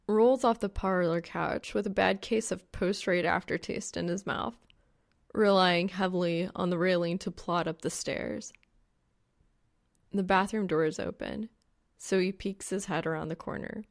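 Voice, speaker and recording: Creaky-voiced woman narrating a story. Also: on the low side, fairly even intonation, measured pace. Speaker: audiobook narrator. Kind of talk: expressive scripted fan fiction narration.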